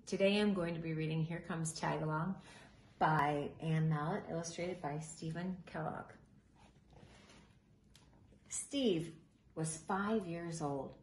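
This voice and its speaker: A woman reading a children's picture book aloud in an expressive, animated way, with deliberate emphasis. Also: low-pitched. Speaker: storybook reader. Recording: roomy echo, faint background noise.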